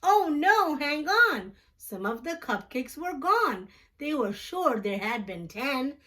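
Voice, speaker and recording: Woman as a kindly picture-book tomcat, high, expressive pitch, earnest, fair-minded, unhurried. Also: exaggerated voice. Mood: concerned. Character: friendly, fair-minded male storybook cat.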